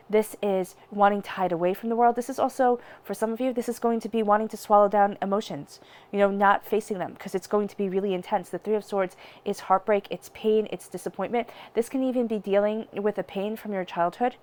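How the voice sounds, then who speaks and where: A woman's voice: medium pitch, darkish tone. A woman with a stuffy, congested voice from a cold, giving a chatty tarot reading straight to her viewers. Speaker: tarot reader. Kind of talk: direct-to-camera tarot reading.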